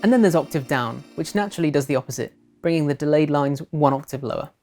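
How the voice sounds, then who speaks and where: A woman presenting a software tutorial in scripted narration. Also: sits low, fast talker, over loud music.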